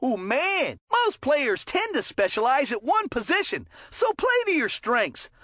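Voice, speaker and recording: High, chummy hockey commentator. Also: female voice, big pitch swings, a little slow, a bit bright, no room sound, studio quality, heavily acted. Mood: chummy, encouraging. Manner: folksy, chummy, slangy and encouraging. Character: chummy, upbeat, folksy kids' hockey commentator. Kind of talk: video game sports commentary voicelines.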